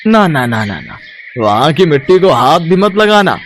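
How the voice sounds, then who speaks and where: Guy acting grumpy stingy village mukhiya, kinda high n very animated, brushes people off, cartoon story, noisy. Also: unhurried. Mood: annoyed refusal. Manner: dismissive, gruff insistence.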